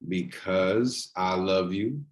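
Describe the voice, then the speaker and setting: A man's voice: low-pitched, slow pace. A man reading a teasing, devoted boyfriend in a naturalistic drama table read, conversational and a little hesitant. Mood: teasing, affectionate. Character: teasing, devoted boyfriend, nervous about his grandmother. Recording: virtual meeting recording.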